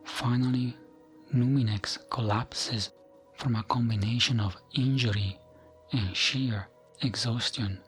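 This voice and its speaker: A man tells fantasy legends in a soft, soothing ASMR style. His delivery is very unhurried and hushed, often dropping into a whisper. Music plays behind his mid-pitched voice. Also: fairly even intonation. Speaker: ASMR gaming narrator. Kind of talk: ASMR storytelling, scripted narration of fantasy lore.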